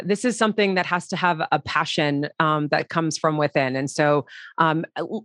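A woman hosting an interview in an informal, halting conversational style. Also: low voice, a bit bright, no echo, clean studio sound. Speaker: host, interviewer.

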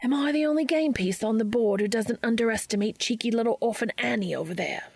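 A woman's voice: on the high side, fast talker, creaky, darkish tone, husky, dry recording, pitched above the performer's own voice. A woman voicing a sardonic, mischievous Englishman with an English accent and a theatrical, condescending drawl, in an audiobook story. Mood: exasperated, mocking.

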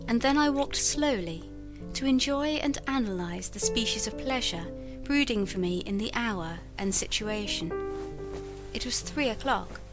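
A woman performing a reflective narrator from a period novel, in a measured, contemplative literary reading. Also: mid-range voice, lightly acted.

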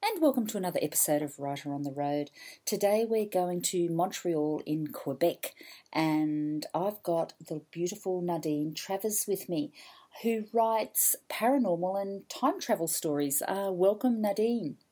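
An Australian woman hosts a podcast interview with a husky, slightly breathy voice. She talks in a chatty, enthusiastic way, with her voice sitting a little low. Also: lively intonation, a little slow, warm tone. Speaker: podcast host. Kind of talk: casual interview chat.

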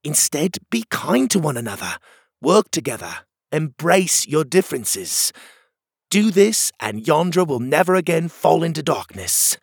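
A man's voice: fairly high pitch, very animated, measured pace, slightly rough, dry recording, studio quality, pitched above the performer's own voice, full character voice. A man voicing a wise, earnest turtle hero who gives advice in a children's storybook.

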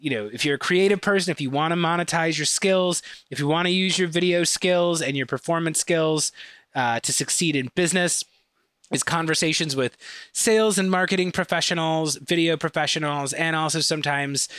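A man with a Chicago accent, a podcast guest on a video call, chatting eagerly in quick bursts with fillers. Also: a little high, a bit bright, clear, resonant tone, no room sound. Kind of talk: casual conversation.

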